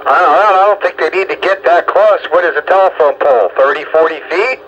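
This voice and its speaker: A man chats casually as host over radio, his voice somewhat high for a man. The signal is muffled and narrow, with clear noise running through it. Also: quick pace. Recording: noisy band-limited two-way radio.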